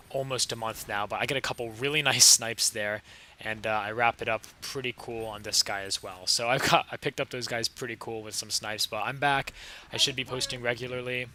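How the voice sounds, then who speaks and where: A young man with a slightly raspy, sick voice, casually commenting over his own gameplay footage with a chatty, laid-back manner. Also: lowish voice, bright, forceful tone. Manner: casual, laid-back, chatty with frequent fillers and short laughs. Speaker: gaming channel host.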